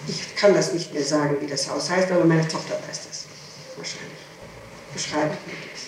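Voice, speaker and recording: A middle-aged woman answering questions in an interrogation, speaking haltingly, repeating herself and protesting. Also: low-pitched, steady tone. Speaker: suspect under interrogation. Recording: echoey, distant, noisy archival 1960s tape.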